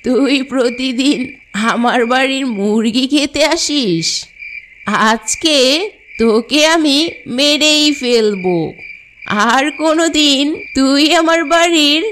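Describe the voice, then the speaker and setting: Woman playing a slow scolding old cartoon granny. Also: sits high, fairly bright tone, slight background noise. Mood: angry, scolding. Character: scolding old village woman guarding her hens. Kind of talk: cartoon character dialogue.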